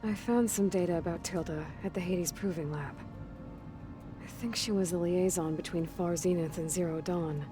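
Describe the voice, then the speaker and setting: Game heroine, played by a woman: blunt, focused, low-mid voice; cutscene with score. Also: moderate pace, lightly acted. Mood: probing. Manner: direct, probing, clipped. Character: young woman hunter, determined, blunt. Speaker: game protagonist. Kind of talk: video game voice acting. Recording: game cutscene with music behind.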